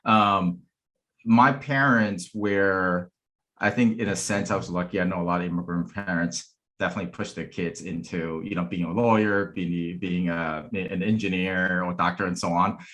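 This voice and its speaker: A man speaking as a panel guest, thoughtful, pausing and restarting often. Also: deep voice, fairly even intonation, a bit bright. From Taiwanese family. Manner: halting, many restarts, thoughtful. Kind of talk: casual panel talk.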